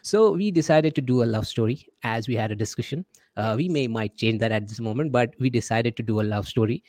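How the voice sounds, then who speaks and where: A man's voice: medium pitch, brisk, a little mellow, dry recording. A man with an Indian accent hosting a casual interview, chatty, often repeating his words and acting out the messages he quotes. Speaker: podcast host.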